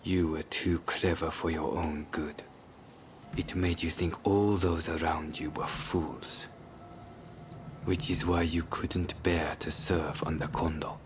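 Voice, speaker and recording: An actor playing a grim, calculating, confident swordsman in a video game cutscene, speaking in a measured, formal way. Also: deep voice, noisy background. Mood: cold, wry.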